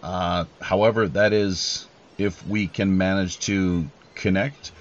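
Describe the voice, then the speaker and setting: A man hosting a podcast with deliberate, measured delivery and formal, announcer-like phrasing. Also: low-pitched, steady tone, highs cut off. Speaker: podcast host.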